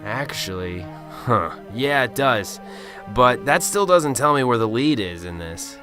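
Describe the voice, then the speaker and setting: A man playing a cynical, sarcastic detective in a radio drama, gruff and impatient. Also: mid-range voice, animated, a little slow, bright, forceful tone. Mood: skeptical. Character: cynical sarcastic adult male Chicago detective. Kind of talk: amateur radio drama acting. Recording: radio drama with music underneath.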